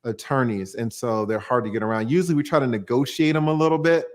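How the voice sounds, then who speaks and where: A man's voice: medium pitch, fairly even intonation, fast talker. A man, a divorce attorney, explaining the law in a plain-spoken way on a call-in livestream and using everyday examples.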